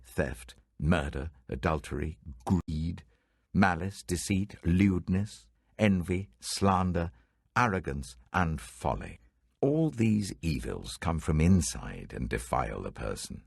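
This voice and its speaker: A man's voice: sits low, gravelly, husky, no echo, clean studio sound, exaggerated voice. A British man voicing a stern, authoritative religious teacher in a dramatic scripture reading, emphatic and weighty. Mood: grave.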